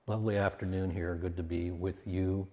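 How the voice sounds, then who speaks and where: A man leading a guided meditation as its teacher, soothing and unhurried, speaking in short phrases with long pauses. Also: low voice, level tone, a little mellow. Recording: hall-like long reverb.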